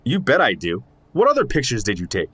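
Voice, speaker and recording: An actor playing a familiar, concerned fatherly friend in an audio drama, with a husky voice. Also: mid-range voice, wildly swooping pitch, a little fast, fairly bright tone, no room sound, exaggerated voice. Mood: impressed, curious. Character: warm, concerned fatherly male friend of an investigator.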